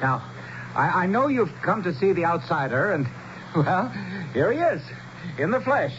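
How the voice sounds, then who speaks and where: An actor playing an earnest, cautious town leader who addresses anxious townsfolk haltingly in a radio drama. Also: male voice, high voice, big pitch swings, a bit bright, slight background noise, full character voice. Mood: urgent, cautious. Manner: halting, repetitive public address. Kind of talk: 1970s radio drama acting. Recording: dull old radio broadcast.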